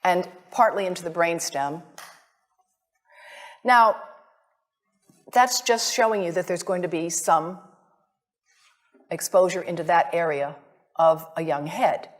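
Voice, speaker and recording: Female academic lecturer, American, slightly low register, deliberate and emphatic, addresses the listeners directly. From United States. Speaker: visiting scientist giving a lecture. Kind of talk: academic presentation to an audience.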